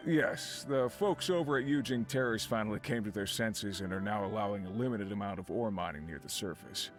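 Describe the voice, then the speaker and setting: An actor playing an easygoing but uneasy miner who leads a crew, speaking in an earnest, conversational, explanatory way as video game dialogue. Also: male voice, lowish voice, fairly quick, lightly acted. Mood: friendly, earnest. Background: game background music.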